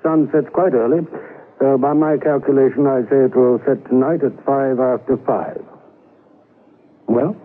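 An actor playing a cold, polished saloon-owning gambler in an old-time radio western, with formal, precise, challenging speech. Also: male voice, medium pitch, steady tone, measured pace, natural voice. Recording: tinny, narrow-band radio broadcast.

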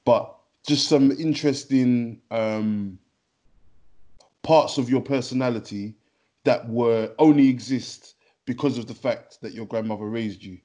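A man's voice: mid-range voice, unhurried, mellow. A man hosting a podcast interview, chatty and friendly, calling his guest "man" and rambling through his questions. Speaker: podcast host. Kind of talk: casual interview.

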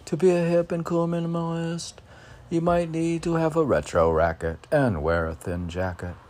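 A man informally reading his poems and commenting on them in a halting, musing way, as if talking to himself. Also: fairly high pitch, a little mellow. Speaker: poet reading and commenting on own poems for a podcast. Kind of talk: informal poetry reading with rambling self-reflective commentary.

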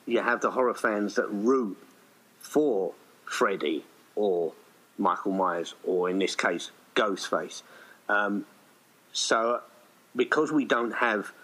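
Low-voiced man, rambling casually. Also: takes it slowly, bright, forceful tone, breathy edge, low-quality recording. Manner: rambling, hesitant, thinking aloud. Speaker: writer-director of an audio play being interviewed. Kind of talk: casual interview chat.

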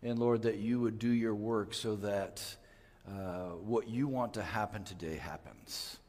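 A man's voice: fairly low pitch, steady tone, a little slow, a little mellow. An older man who grew up in West Africa, speaking as a missionary in an earnest, emphatic preaching manner with frequent restarts. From West Africa (Mali). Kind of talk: prayer then church missionary talk. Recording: long hall reverb.

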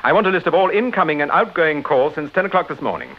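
An actor playing a suave, self-assured British gentleman detective, urbane and decisive, in a radio drama. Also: on the high side, expressive, fast. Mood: brisk, decisive. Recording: dull old radio drama recording.

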